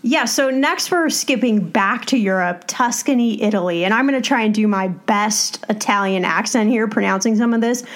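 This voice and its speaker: A woman's voice: mid-range voice, moderate pace, hardly any pauses. A woman co-hosting a podcast, moving between casual chat and scripted sponsor reads. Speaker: podcast co-host.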